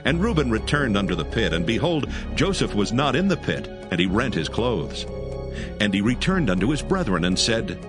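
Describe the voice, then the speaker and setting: A man reads scripture as a solemn dramatic narrator. His voice is fairly low with expressive rises and falls, and music plays clearly behind him. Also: moderate pace. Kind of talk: dramatic scripted Bible reading.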